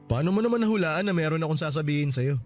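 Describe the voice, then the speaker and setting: A man playing a sheepish, soft-hearted husband in a Tagalog radio drama, hesitant and defensive. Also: fairly high pitch, expressive, racing, natural voice. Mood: nervous, hesitant. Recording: music bed under the voice.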